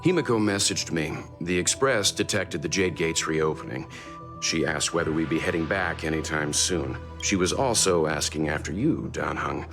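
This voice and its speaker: A man voicing a calm, fatherly, thoughtful middle-aged mentor in a video game. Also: rumbling low, moderate pace, music in the background. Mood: gently guiding.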